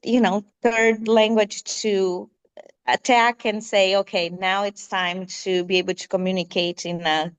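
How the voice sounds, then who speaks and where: A woman's voice: mid-range voice, level tone, measured pace, smooth, low-quality recording. A middle-aged Brazilian woman speaking English as a second language, answering interview questions hesitantly with many fillers. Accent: Brazilian Portuguese. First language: Portuguese. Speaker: guest. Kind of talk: conversational interview.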